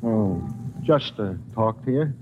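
An actor playing a hurt, earnest, hesitant police subordinate in a 1950s radio drama. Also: male voice, lowish voice, lively intonation, slow. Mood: hurt, pleading. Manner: hesitant, plaintive. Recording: muffled, noisy old radio recording.

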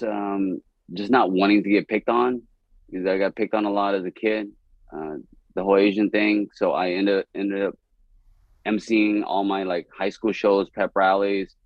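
A man's voice: lowish voice, dry recording. A young man from East Dallas, Texas, talking candidly as a comedian guest on a podcast, rambling and laughing often. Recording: dull, dry podcast audio.

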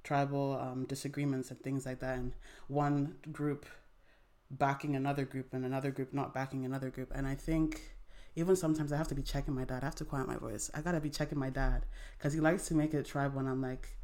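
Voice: medium pitch, flat delivery, brisk, a little mellow. A young adult from Nigeria, a podcast guest, talking in a rambling, heated, casual way. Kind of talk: casual chat.

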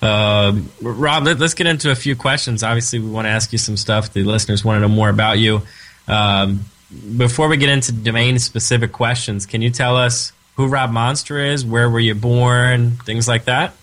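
A man's voice: mid-range voice, steady tone, moderate pace, fairly bright tone. A man hosting a radio interview show, talking in a friendly, rambling way with restarts.

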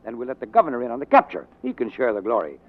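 An actor playing a ruthless, domineering newspaper editor who barks orders in 1940s radio drama style. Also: male voice, mid-range voice, huge pitch swings, racing. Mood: scheming, bossy. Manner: barking, domineering, commanding. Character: ruthless, bullying, fast-scheming newspaper editor, adult man. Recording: muffled 1940s radio recording.

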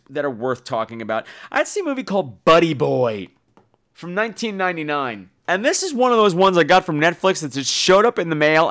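A man talks casually as a solo podcast host. His voice sits a little high and swings up and down a lot. He rambles in a campy, bawdy, self-mocking manner. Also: a bit bright. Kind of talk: casual rambling solo podcast talk about shows and films.

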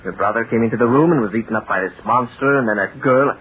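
Man acting a fast-talking, exasperated old-radio lawyer. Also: medium pitch, close to an everyday voice. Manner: impatient, hectoring, skeptical. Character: brisk, exasperated male defence attorney. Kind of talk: 1930s radio drama acting. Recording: noisy, tinny 1930s radio broadcast.

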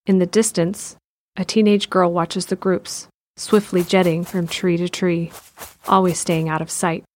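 A woman reading scripted narration for an audio drama in an even, descriptive way. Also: lowish voice, measured pace, slight background noise.

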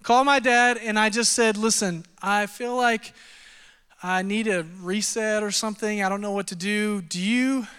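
A man in his thirties from Texas, preaching a sermon and telling his own story in a relaxed, self-deprecating way with lots of fillers. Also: sits high, moderate pace, clear, resonant tone. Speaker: pastor. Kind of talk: sermon with personal testimony. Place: church auditorium. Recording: long hall reverb.

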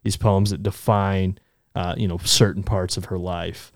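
An easygoing man with a low voice chats about books on a podcast. He keeps checking in with "right?" and "you know," and his voice sits dry and close to the mic. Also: little pitch movement, unhurried, no echo. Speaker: podcast co-host. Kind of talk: casual book discussion.

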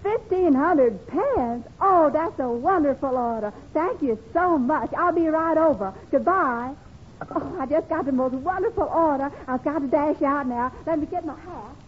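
A woman's voice: sits high, moderate pace, lightly acted. A woman playing a sweet, naive, eager young secretary in an old radio comedy, gushing as she talks. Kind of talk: 1940s-50s radio comedy acting. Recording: muffled, hissy old radio recording.

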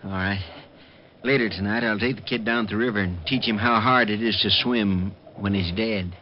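A man playing a gruff crooked barman in a vintage radio play, voice on the low side. He threatens in a cool, sneering way. The old broadcast is dull with clear hiss. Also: normal speed, close to an everyday voice. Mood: menacing. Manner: menacing, sneering. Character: gruff older male counterfeiter bar owner.

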